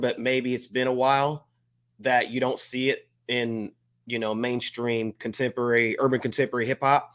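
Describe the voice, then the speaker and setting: A man with a mid-pitched voice chats as a panelist on a hip hop talk show. He speaks casually in slang and often repeats a phrase to drive it home. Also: a bit bright.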